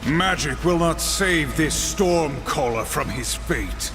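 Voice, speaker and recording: An actor playing a grim, stern horseman of the apocalypse in a video game, solemn and measured. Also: on the high side, animated, loud music behind the voice. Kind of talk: dramatic video game voice acting.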